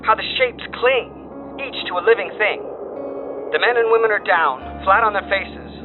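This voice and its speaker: A man's voice: high voice, normal speed, narrow, tinny audio. Phone-thin radio voice of a doomed wireman, acted by a man. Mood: horrified frenzy. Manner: solemn, theatrical, formal phrasing. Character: doomed male wire operator reporting horrors, terrified yet compelled. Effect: radio filter. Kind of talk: dramatic horror story dispatch reading. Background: music.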